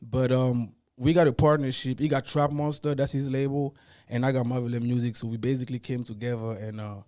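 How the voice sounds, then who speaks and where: A man from Mali in his thirties, guesting as a rapper, chats in a laid-back, easygoing way and often lets his sentences fade out quietly. His voice sits at an ordinary mid pitch. The recording is close and dry. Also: fairly even intonation, brisk, no room sound. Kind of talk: casual interview chat.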